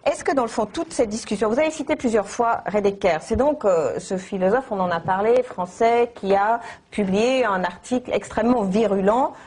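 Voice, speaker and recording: A woman's voice: mid-range voice, moderate pace, a little mellow, breathy edge. A woman moderating a televised debate, pressing her guests with questions and cutting in.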